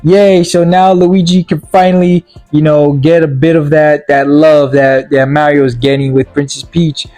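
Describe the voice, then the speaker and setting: A young man gives a blunt, rambling review, stumbling over fillers and restarts. His voice is mellow and sounds tired and worn. He is recorded close and dry, with faint music underneath. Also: on the high side, flat delivery, normal speed, few pauses, no room sound. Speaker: video game movie reviewer. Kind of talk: casual spoken review.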